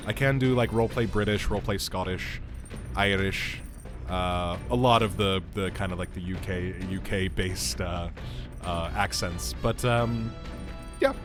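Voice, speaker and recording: A man chatting casually as he streams a video game, talking in a rambling, conversational way. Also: on the low side. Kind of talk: casual livestream gameplay chat. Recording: game music behind the voice. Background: video game music.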